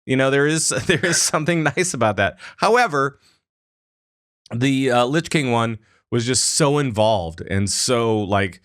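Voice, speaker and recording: A man co-hosting a casual gaming podcast, telling a story with lively acted-out lines. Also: medium pitch, measured pace, no echo, studio quality. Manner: animated storytelling, acts out characters' lines. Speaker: podcast co-host.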